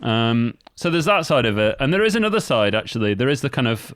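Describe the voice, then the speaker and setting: A man's voice: mid-range voice, very animated, fast, fairly bright tone, dry recording, clean studio sound. A man co-hosting a podcast, explaining things in an easygoing way with lots of fillers. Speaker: podcast co-host. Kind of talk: casual chat.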